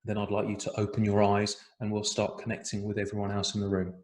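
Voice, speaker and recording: A man in his forties with an English accent, chatting gently as he leads an online breathwork workshop. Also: fairly low pitch, monotone, quick pace, reverberant. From England. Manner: chatty, gentle, with many fillers. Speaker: breathwork facilitator. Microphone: video call.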